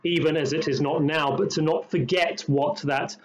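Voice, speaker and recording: A man, a rabbi co-hosting a podcast, speaking in a measured and thoughtful way, slowing down on key phrases. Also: a little high, fairly even intonation, soft background music. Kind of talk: reflective conversational discussion.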